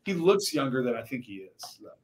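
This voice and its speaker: A man's voice: mid-range voice, very animated, fairly quick. A man on a podcast panel, chatting casually. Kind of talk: casual chat.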